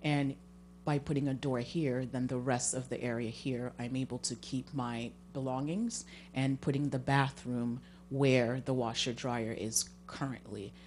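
A woman's voice: rumbling low, fairly even intonation, a little slow, darkish tone. A woman speaking as a homeowner applicant, explaining informally into a handheld microphone at a public meeting. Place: municipal meeting room. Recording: faint background hum.

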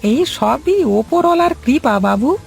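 A woman's voice: sits high, lively intonation, measured pace, vocal fry, a little mellow, soft background music, full character voice. A woman voicing Ramu, an eager, servile young male shop boy in a cartoon who calls out to customers in a sing-song patter. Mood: deferential.

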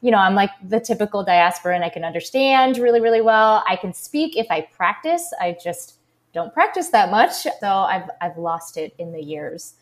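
A woman in her thirties from the Boston area talks easily as an interview guest, her voice at a middling pitch. She is upbeat and chatty and fills her sentences with little fillers. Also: animated, moderate pace. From United States, Boston area. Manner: upbeat, chatty, many fillers like "you know" and "like". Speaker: guest, former Olympic gymnast. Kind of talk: casual interview talk.

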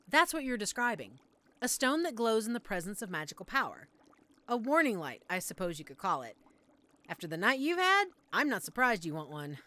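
Woman as an animated, flustered young witch. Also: on the high side. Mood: eager, excited. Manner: theatrical, rambling, expressive. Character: chatty, flustered young witch-in-training running a magic shop. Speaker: audio roleplay performer. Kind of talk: scripted audio roleplay, addressed to the listener.

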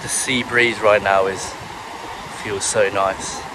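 A British man from England giving monotone, relaxed commentary while walking a beach outdoors, filming on a handheld camera. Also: lowish voice, slow. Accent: British English. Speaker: travel vlogger. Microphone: handheld camera carried while walking. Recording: clear wind and surf noise. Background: ocean waves and breeze.

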